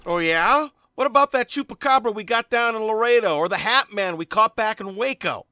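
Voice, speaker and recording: Man playing a bright, brash wisecracking ghost hunter. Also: high-pitched, lively intonation, a little fast. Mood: needling. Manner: cocky, joking, punchy. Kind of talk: audio drama acting.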